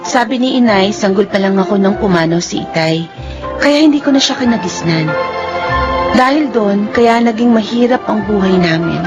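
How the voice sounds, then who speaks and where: An actress playing an earnest, worried but hopeful young college student with a Filipino accent in a radio drama. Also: female voice, mid-range voice, lively intonation, moderate pace, natural voice. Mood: sad. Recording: dull lo-fi audio with faint music.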